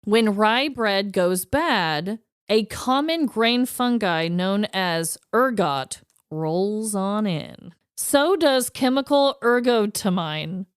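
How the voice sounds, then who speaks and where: A woman co-hosting a podcast in casual, jokey chat, informal and quick to laugh. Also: mid-range voice, animated, clean tone, dry recording, studio quality. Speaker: podcast co-host. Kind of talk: casual comedic chat with a prepared list.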